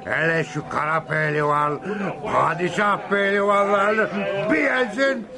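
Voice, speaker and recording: A man's voice: high-pitched, steady tone, a little slow. An actor playing a violent, boastful drunken husband and father in a radio play, bellowing and taunting. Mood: rowdy boasting. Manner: bellowing, taunting, dragging out words. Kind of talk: radio theatre acting. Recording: radio play with loud crowd noise behind.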